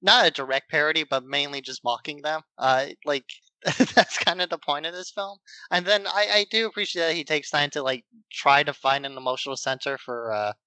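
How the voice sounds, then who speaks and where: Male podcast co-host, bright forward voice, slightly high, hesitant casual delivery, dry close sound. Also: brisk, clean tone, no echo, poor audio. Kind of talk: casual film discussion.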